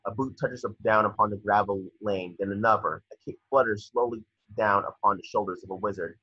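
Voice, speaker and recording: Young man, scripted stage-direction narration, deep-ish, sharp, rough-edged, muffled dry call audio. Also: fairly even intonation, brisk, dry recording. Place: indoor room at home, on a video call.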